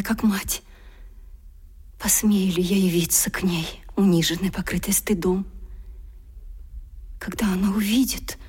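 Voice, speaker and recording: An actress playing a proud, long-suffering young gentlewoman in a radio drama, solemn and impassioned, with breathy delivery and dramatic pauses. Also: medium pitch. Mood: pained refusal. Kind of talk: Russian radio drama acting, theatrical.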